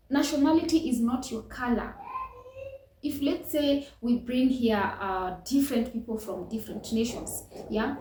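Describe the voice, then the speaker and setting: A woman reflects earnestly to her viewers, her voice sitting a touch high. She circles back on her words with fillers and restarts. The room gives her a noticeable echo over faint background noise. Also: dark tone. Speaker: reaction channel host. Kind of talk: casual reaction commentary.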